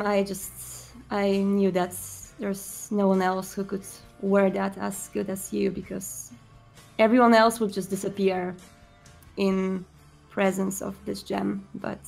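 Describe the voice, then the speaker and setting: A woman playing a sad, hesitant, distrustful male vampire prince who speaks haltingly in a tabletop roleplaying game. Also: medium pitch, gravelly, a little mellow, poor audio.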